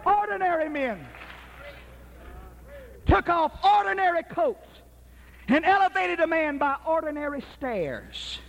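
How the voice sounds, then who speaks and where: A man preaching a sermon in an emphatic, rhythmic style that rises to shouted peaks. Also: extremely high pitch, very animated, a little slow, creaky, pauses often, muffled recording, slight background noise.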